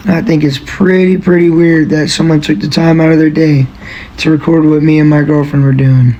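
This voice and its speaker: Man, flat monotone delivery, mellow tone, dull lo-fi recording. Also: on the high side, normal speed. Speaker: person filmed, responding. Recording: dull lo-fi audio.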